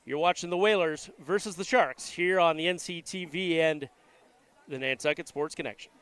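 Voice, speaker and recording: A man's voice: fairly high pitch, moderate pace, fairly bright tone, slight background noise. A man with a congested voice, just over a flu, giving easygoing live commentary on a school volleyball game from a gymnasium. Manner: easygoing, self-deprecating. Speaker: play-by-play announcer and station manager.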